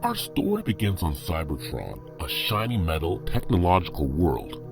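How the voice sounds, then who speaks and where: A man delivering scripted dramatic narration in a solemn manner. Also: low-pitched. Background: music.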